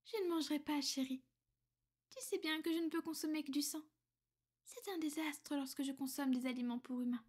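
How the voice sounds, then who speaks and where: A woman playing a centuries-old vampire girlfriend in an ASMR roleplay, soft and intimate, often whispering, refined, tender and possessive. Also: sits high, fairly even intonation, fairly quick, natural voice. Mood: gently teasing.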